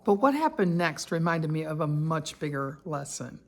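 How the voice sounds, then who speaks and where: A woman, talking candidly in a personal vlog, chatty and quick to laugh, with many asides and fillers. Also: low voice, sing-song. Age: 60s. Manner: conversational with frequent fillers and asides. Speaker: lifestyle vlogger.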